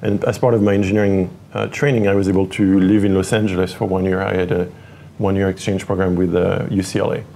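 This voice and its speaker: Soft-spoken French man with gravelly lows. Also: level tone, fast talker, slight background noise. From France (Paris). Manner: hesitant, many fillers and restarts. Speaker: tech executive guest. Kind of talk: interview conversation.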